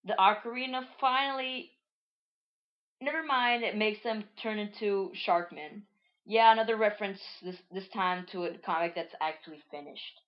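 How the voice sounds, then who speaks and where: A woman's voice: mid-range voice, moderate pace, lo-fi sound. A woman casually reading aloud her old comic and commenting on it, rambling and correcting herself as she goes. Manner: rambling, self-correcting, chatty.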